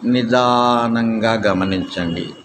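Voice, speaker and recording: Male meditation instructor, calm and deliberate, extremely unhurried pacing with long gaps; noticeable ambient noise behind the voice. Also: mid-range voice. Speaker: yoga nidra instructor. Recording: clear steady background noise.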